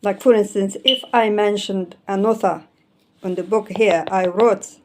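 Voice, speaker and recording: A woman's voice: medium pitch, fairly even intonation, a little slow, a little hiss or hum. A woman in her fifties, a native Arabic speaker, who self-publishes and talks casually about books, rambling and correcting words mid-phrase. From Arabic-speaking country. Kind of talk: casual explanatory talk, showing books.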